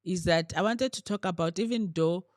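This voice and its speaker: A woman's voice: fairly low pitch, brisk, no echo, studio quality. A woman co-hosting a podcast in casual chat, talking earnestly and searching for her words. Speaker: podcast co-host.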